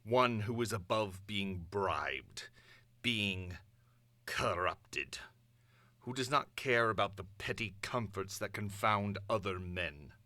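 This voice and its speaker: A man performing a grandiose supervillain dictator's monologue, pompous and deliberate, addressing the world in imperious, theatrical declamation. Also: lowish voice, lively intonation, bright, forceful tone, no room sound, full character voice. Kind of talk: dramatic villain monologue.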